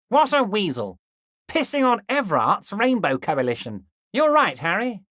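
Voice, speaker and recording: A scheming middle-aged union boss from a video game, talks with a high, lilting voice that swoops up and down. He is sugary and falsely friendly, and he dips down low whenever he says his listener's name. The sound is close and dry, with no room around it. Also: fairly bright tone, no echo. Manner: sing-song and falsely friendly, sinking low on the name he keeps repeating. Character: smooth-talking, manipulative middle-aged male union boss. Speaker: game character.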